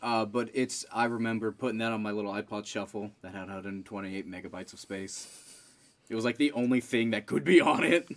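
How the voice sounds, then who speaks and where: A man's voice: lowish voice, a little fast. A man chatting casually as a co-host on a group podcast.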